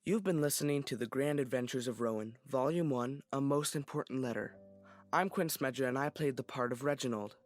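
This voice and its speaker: A man's voice: mid-range voice, a little fast. A man reading scripted closing credits for an audio drama as one of its cast. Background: music.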